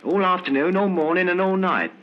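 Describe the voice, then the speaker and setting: An actor playing a scared, defiant seventeen-year-old delinquent in an old radio drama, whiny, defensive and cheeky. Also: male voice, high voice, a little fast, natural voice. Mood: frightened, defiant. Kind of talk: 1950s radio drama acting. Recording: muffled old radio recording.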